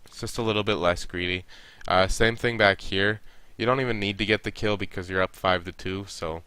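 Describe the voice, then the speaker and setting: A man's voice: sits low, bright, forceful tone, clear, resonant tone, no room sound. A young man with a stuffy nose, casually reviewing a team's game and coaching the players directly. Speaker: esports coach reviewing a match.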